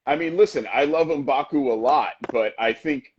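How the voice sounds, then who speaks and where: A man co-hosting a wrestling podcast in casual chat, emphatic and talking in quick bursts. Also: mid-range voice, muffled recording, a little hiss or hum. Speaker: podcast co-host.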